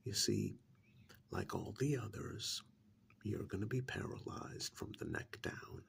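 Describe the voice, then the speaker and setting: A man playing a cold, sadistic serial killer in a soft-spoken ASMR role-play, speaking slowly and menacingly in a breathy, gravelly whisper with deliberate pauses. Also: fairly low pitch. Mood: clinical, sinister.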